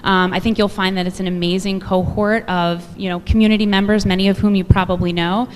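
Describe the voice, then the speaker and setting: A woman who directs the band, hosting a school concert and speaking with many pauses and fillers. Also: medium pitch, level tone. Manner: warm-hearted, hesitant with many ums. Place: school auditorium. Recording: echoey hall with faint noise.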